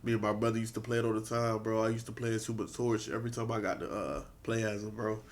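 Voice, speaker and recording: A man's voice: lowish voice, fairly even intonation, fast talker. A man hosting a reaction video, talking in a laid-back, slangy way with a stuffy, congested voice from a cold. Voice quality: stuffy nasal. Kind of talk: casual reaction commentary.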